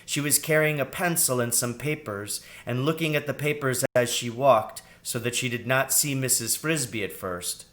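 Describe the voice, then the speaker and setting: A man's voice: mid-range voice, normal speed, few pauses, fairly bright tone. Man reading a children's story aloud. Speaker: audiobook reader. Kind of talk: scripted storybook narration.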